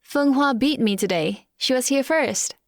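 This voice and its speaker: A woman's voice: high voice, a little slow. A woman playing a friendly, upbeat young dancer in an audio drama. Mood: grateful, upbeat.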